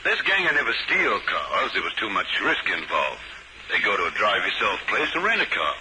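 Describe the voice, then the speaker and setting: An actor playing a detective's genial, teasing pal in a 1950s radio drama, jaunty and drily sarcastic. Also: male voice, fairly low pitch, wildly swooping pitch, normal speed. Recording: very noisy old 1950s radio recording.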